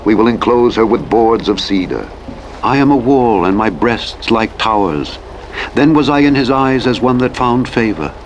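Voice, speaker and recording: A man narrating the Bible in a measured, solemn scripted reading. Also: medium pitch, poor audio. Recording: clear vehicle and road noise throughout. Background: truck engine and road sounds from a driving game.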